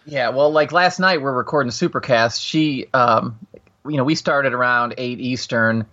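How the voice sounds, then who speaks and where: Forties male guest, bright forceful tone, rambling storyteller who keeps restarting, dull lo-fi line. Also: medium pitch, moderate pace. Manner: rambling, restarts a lot, gets loud. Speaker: podcast guest, host of another show. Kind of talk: casual podcast chat, storytelling.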